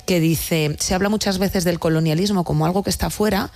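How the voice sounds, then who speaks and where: A woman talking in an interview as a theatre director, telling her story in a wry, animated way. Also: fairly low pitch, a little fast, dry recording. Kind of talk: conversational interview answers.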